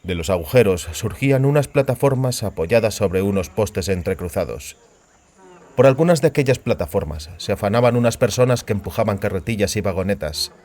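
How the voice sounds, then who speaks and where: A male audiobook narrator with a lowish voice. He reads literary fantasy prose as a scripted narration. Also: lively intonation, a little fast, darkish tone.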